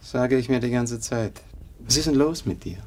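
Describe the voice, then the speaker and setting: A man's voice: mid-range voice, normal speed, dark tone, a little hiss or hum, natural voice. An actor playing a blunt, brash young man, a womanizing brother, in a 1950s German radio drama. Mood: probing, concerned. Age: young adult.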